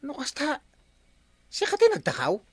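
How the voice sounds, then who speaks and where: A man with an Ilocano accent playing an excitable, bossy village captain in a comic radio drama. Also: extremely high pitch, normal speed, dry recording, full character voice. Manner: animated, exclaiming, bossy. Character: excitable middle-aged male village captain. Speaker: barangay captain.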